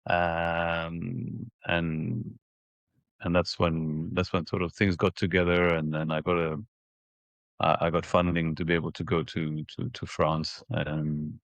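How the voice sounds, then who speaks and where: Deep male voice, forties, vocal fry, halting, repeated words, casual interview guest, dry close sound. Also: monotone, dry recording. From Ethiopia and Mauritius. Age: 40s. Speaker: interview guest, former professional tennis player.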